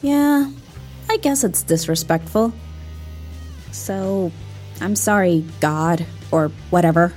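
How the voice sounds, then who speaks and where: A woman's voice: lowish voice, takes it slowly, pauses often, darkish tone, soft background music. A woman playing a blunt young schoolgirl at confession in an audio comedy, offhand and grudging.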